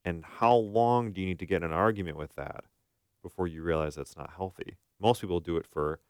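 A man's voice: sits low, a little fast, a little mellow, clear, resonant tone, no room sound, clean studio sound. A man co-hosting a conversational podcast, explaining things in an informal, illustrative way. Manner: explains through analogies and acted-out quotes. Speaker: podcast co-host and therapist.

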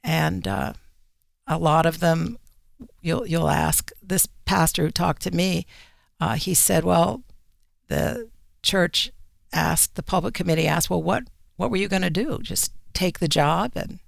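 A woman's voice: fairly low pitch, a little slow, gravelly, no room sound, studio quality. A woman speaking as a magazine editor being interviewed, explaining her views in an earnest, emphatic, conversational way. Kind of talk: conversational interview commentary on religious issues.

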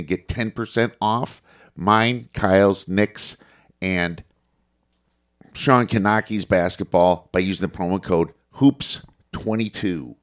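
A man with a head cold, sounding congested, going through a list of sports notes in a casual podcast with a steady reading delivery and some fillers. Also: sits low. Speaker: sports betting podcast host.

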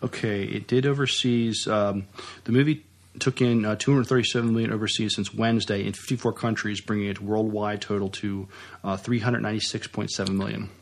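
A man with a low voice full of gravelly fry co-hosts a podcast. His news chat is casual and halting, with hesitant phrasing. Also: little pitch movement. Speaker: podcast co-host.

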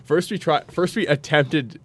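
A man's voice: a little high, unhurried, fairly bright tone, breathy edge. A young man telling stories casually as a podcast guest, wry and given to acting out little quotes. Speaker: podcast guest, segment creator. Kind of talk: casual storytelling.

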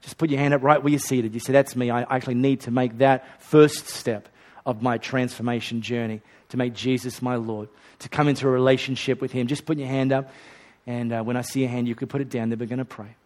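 A man's voice: medium pitch, little pitch movement, brisk, hardly any pauses, darkish tone. A male pastor preaching a sermon and leading an altar call in a church. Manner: earnest, leads a repeat-after-me prayer. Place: hot church auditorium.

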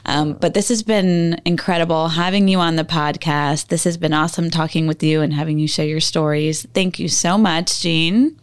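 A woman co-hosting a podcast interview, bubbly and quick to laugh, chatting casually over a video call. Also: sits low, steady tone, clean tone. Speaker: podcast co-host.

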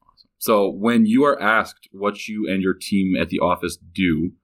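A man with a low voice and an American accent hosts a podcast interview. He talks in a conversational way and is generous with compliments to his guest. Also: moderate pace, fairly bright tone. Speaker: podcast host and chamber executive director. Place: studio in an office.

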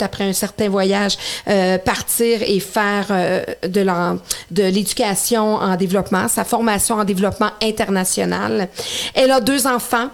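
A woman in her forties with a Québécois accent, hosting a podcast interview in a chatty way, with many fillers. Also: mid-range voice. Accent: Québécois French. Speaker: host.

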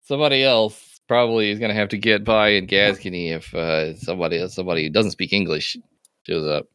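Man, game master, low voice, easygoing and chatty, dry sound. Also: quick pace, clear, resonant tone, dry recording. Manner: relaxed, rambling with fillers and laughs. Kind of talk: running a tabletop game playtest.

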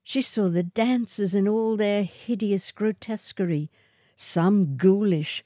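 A woman reading a literary short story in a measured, atmospheric way. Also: mid-range voice, warm tone, no room sound, clean studio sound.

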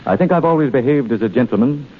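A man's voice: mid-range voice, expressive, fast, slightly rough. A man playing a suave, sardonic swindler posing as a haughty princely uncle in 1930s radio drama. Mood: suspicious, sardonic. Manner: pompous, sardonic, theatrical. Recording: muffled, reverberant vintage 1930s radio broadcast.

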